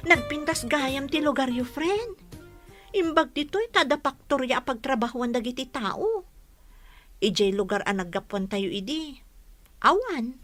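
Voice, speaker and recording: Chatty, curious young best friend played by a woman, Filipino accent, high bright voice, dry close sound. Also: no room sound, exaggerated voice. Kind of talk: comedy drama acting.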